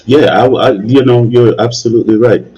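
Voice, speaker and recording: A man's voice: mid-range voice, steady tone, moderate pace, warm tone, husky, muffled recording. A man casually giving opinions and advice in a live discussion, repeating himself for emphasis and often checking in with "you know". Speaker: video creator hosting a discussion.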